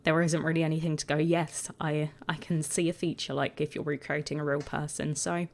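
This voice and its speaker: A woman with a croaky voice, chatting casually and rambling a bit as she talks over her video. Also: deep voice. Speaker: gaming video creator.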